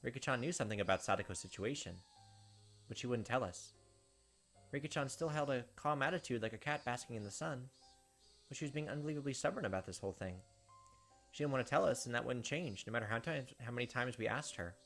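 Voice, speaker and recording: A man's voice: mid-range voice, lively intonation, quick pace, fairly bright tone, faint music behind. A man hosting a let's play, chatting casually and reading the game's story text aloud.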